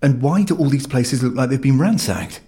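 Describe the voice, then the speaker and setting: A man's voice: medium pitch, moderate pace, mellow, natural voice. A man playing a baffled investigator in an audio drama, questioning and flustered. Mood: confused, alarmed. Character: baffled male investigator, out of his depth.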